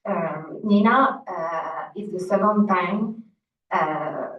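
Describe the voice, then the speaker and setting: A Belgian woman, native in French, haltingly asking a question in accented English, searching for words. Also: on the low side, a little hiss or hum. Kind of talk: spontaneous question to a panel. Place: indoor conference room. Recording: echoey, distant, muffled conference room audio.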